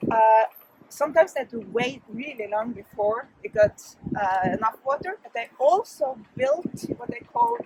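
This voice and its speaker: A woman from Norway, guiding a walking tour outdoors at a harbor, halting and full of filler sounds. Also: fairly high pitch, very animated, rough recording. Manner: halting, many filler sounds, loose grammar. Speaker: tour guide. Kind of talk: guided walking tour talk. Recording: loud outdoor street noise.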